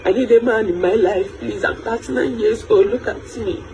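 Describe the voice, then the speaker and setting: A woman's voice: medium pitch, moderate pace, creaky, background noise. A woman in her late thirties, pleading over and over in a social media video. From Nigeria. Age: 30s. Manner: repetitive, pleading.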